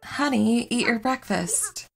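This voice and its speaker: A woman's voice: on the high side, lively intonation, takes it slowly, creaky, over loud music, exaggerated voice. A woman playing a sensible, caring superheroine wife with a practical, conversational manner in a comedy audio drama.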